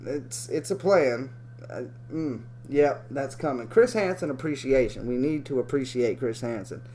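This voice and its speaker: Male commentator, casual reaction talk, swearing a lot, excitable and sarcastic, average pitch, slight background hum. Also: normal speed. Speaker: video commentator.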